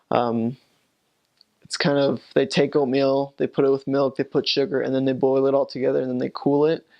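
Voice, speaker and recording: A man's voice: medium pitch, gravelly, darkish tone, muffled recording. A young man, a returned missionary, recalling his mission in a rambling, casual way with many fillers and restarts. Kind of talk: casual recollection.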